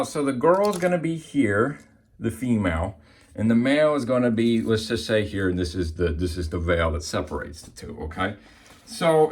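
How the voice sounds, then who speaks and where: A man's voice: medium pitch, a bit bright. A man giving an informal explanatory lesson as an amateur Bible teacher, often checking in with the listener. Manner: repeatedly checks in with "okay?".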